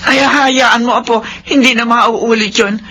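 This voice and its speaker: A woman's voice: fairly high pitch, steady tone, unhurried, sharp tone, slight background noise. An actress playing a meek, doting old grandmother in a radio drama, faltering and pleading. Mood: flustered, apologetic. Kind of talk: Filipino radio drama acting.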